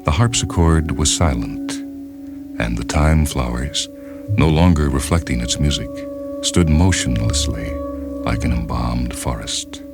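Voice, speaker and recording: A man reading a literary short story as narrator, in a measured, grave and dramatic manner. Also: a bass voice. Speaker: narrator and reader. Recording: music behind the narration. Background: orchestral music.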